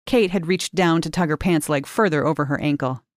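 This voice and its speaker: A woman reading a short story aloud in measured, expressive narration, lifting key words for emphasis. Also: sits low, no room sound, clean studio sound. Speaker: reader of short fiction.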